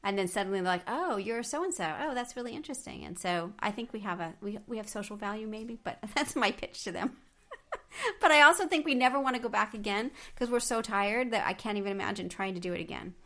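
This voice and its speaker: A woman's voice: medium pitch, animated, fast, a bit bright. Woman host rambling casually. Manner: rambling, restarts often, frequent agreeing backchannels. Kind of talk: casual chat.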